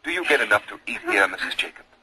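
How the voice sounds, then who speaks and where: An actor playing a sympathetic radio interviewer, gently questioning in a 1940s broadcast style. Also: male voice, lowish voice, sing-song, fast, full character voice. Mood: gentle, sober. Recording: very noisy old radio recording.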